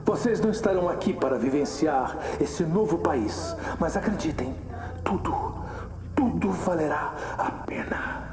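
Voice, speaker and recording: A fanatical revolutionary orates in an audio drama. His voice is fairly high, echoing from a distance. He is solemn, deliberate and menacing, like a man addressing captives. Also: animated, a crowd in the background. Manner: grandiose, deliberate, oratorical, dropping to a whisper at times. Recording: distant, echoey, with loud music.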